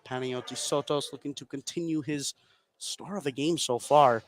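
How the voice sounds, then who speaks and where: A man doing live radio play-by-play commentary of a basketball game, excitable on big plays. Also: mid-range voice, moderate pace. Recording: clearly noisy live sports broadcast.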